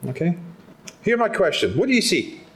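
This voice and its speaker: A man with a Scottish accent gives a motivational talk to an audience in a conference room. His delivery is animated and conversational. He keeps turning to the listeners with quick questions. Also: high voice. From Scotland. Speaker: speaker at a patient summit.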